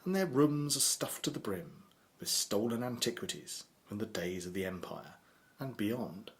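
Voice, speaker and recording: An actor as a wry male storyteller with a lowish voice. He delivers scripted narration for an adventure radio play. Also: expressive, normal speed, close to an everyday voice. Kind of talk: scripted narration for audio drama.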